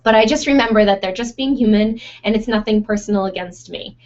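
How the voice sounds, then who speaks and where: A young woman who runs a photo studio, answering listener questions in a chatty, casual way with frequent fillers and laughs, her voice going hoarse. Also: medium pitch, a little fast, darkish tone. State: losing her voice. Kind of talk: casual Q&A monologue. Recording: dull lo-fi sound.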